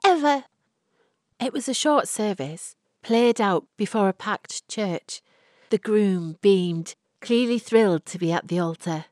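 A woman narrating a dramatic short story with deliberate, expressive phrasing. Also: mid-range voice, no echo, clean studio sound. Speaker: audiobook narrator.

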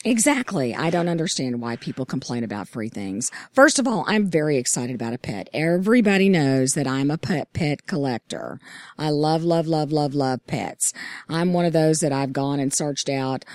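A woman hosting a casual podcast roundtable, hoarse from losing her voice, upbeat and enthusiastic. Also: sits low, expressive, normal speed, no room sound. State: hoarse, lost voice. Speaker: podcast host.

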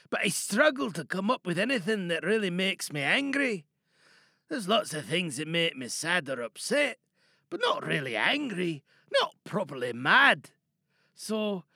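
Man acting high-voiced, hesitant, wounded student. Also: vocal fry, bright, forceful tone, dry recording, studio quality, full character voice. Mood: nervous. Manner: hesitant, apologetic, strained politeness. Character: shy, hurt student reading an angry poem to class.

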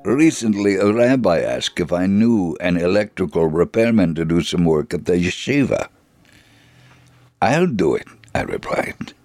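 Low-voiced man reading a story. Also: lively intonation, a little slow, darkish tone, faint music behind. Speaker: narrator of a dramatic reading. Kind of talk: scripted first-person storytelling.

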